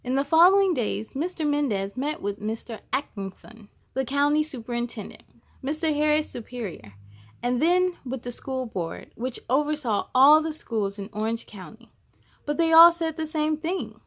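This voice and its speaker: Woman, slightly high pitch, children's read-aloud, expressive storytelling, measured delivery.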